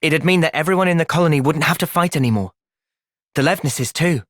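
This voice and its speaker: A man voicing an earnest, thoughtful young soldier in a video game, with an English accent and a measured, sincere delivery. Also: on the high side, fairly bright tone, no room sound, studio quality. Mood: pensive, serious. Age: young adult. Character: earnest, thoughtful young male soldier, gentle but resolute. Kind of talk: video game cutscene acting.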